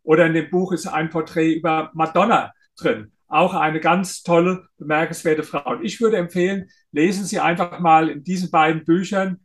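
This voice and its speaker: A man, a guest author in an interview, explaining his points and often pausing on uh fillers. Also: on the high side. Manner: explanatory, hesitant with frequent uh fillers. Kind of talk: interview conversation.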